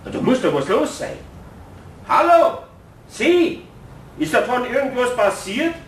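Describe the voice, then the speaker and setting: An actor playing a nervous, excitable man with a Bavarian accent in a radio play, heard in an echoey space. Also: measured pace, exaggerated voice. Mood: concerned, excited. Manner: anxious, excitable. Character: nervous, concerned, excitable male friend, adult. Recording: echoey, distant, faint rumbling noise.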